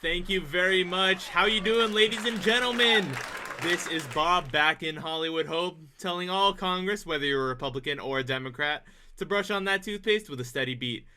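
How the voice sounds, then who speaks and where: A fairly high-voiced 1940s radio comedian , acted by a man, hosts with quippy, showy patter. He delivers gags in old-time radio style. The recording is noisy, with an audience clearly audible. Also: normal speed, pushed and bright, a faint crowd. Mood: showy, upbeat. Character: wisecracking 1940s male radio comedian and master of ceremonies. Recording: noisy live stage, audience sounds.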